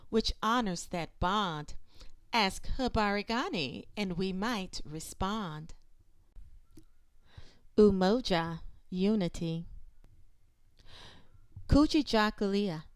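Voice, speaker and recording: A woman reads a children's picture book aloud with very lively, swooping intonation. Her voice is smooth and clear, recorded dry and close. She phrases deliberately and emphatically, leaving dramatic pauses between phrases. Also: mid-range voice, dry recording.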